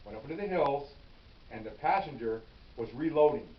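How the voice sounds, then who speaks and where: A retired police officer, an older man, telling a story from his career to the camera in a casual, animated way and acting out the shouting. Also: medium pitch, normal speed. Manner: animated, acts out his own yelling. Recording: roomy, distant, dull with hiss.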